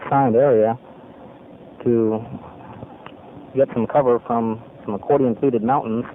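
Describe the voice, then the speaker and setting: A man, a navy pilot, giving a formal spoken debrief of a mission in measured, clipped military phrasing. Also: medium pitch, fairly even intonation, slight background noise. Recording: tinny lo-fi archival radio recording.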